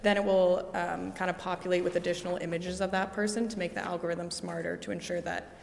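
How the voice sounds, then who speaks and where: A woman presenting at a city council meeting, brisk and explanatory about technical details. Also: on the low side, flat delivery, creaky. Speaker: presenter for a camera system. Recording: echoey hall, faint noise.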